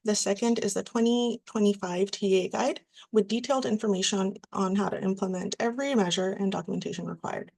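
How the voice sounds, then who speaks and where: A woman presenting a webinar, reading from slides in a steady, scripted way. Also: medium pitch, vocal fry, rough recording.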